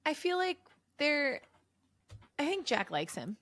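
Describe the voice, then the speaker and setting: A woman's voice: high-pitched, very animated, slow pace, a bit bright. A woman co-hosting a podcast, chatting casually. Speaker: podcast co-host. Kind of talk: casual chat.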